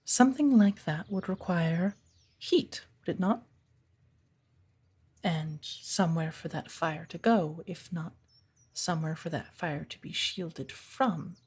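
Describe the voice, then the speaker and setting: A woman playing a stern, patient witch who teaches an apprentice in a soft, breathy ASMR roleplay, speaking in a measured, formal, commanding way. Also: on the low side. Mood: patient teaching. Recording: birdsong behind the voice. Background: birdsong, woodland ambience.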